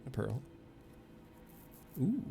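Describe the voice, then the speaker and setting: A man's voice: extremely slow, dark tone. A man streaming a video game, commenting casually and reading game text aloud. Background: faint game music.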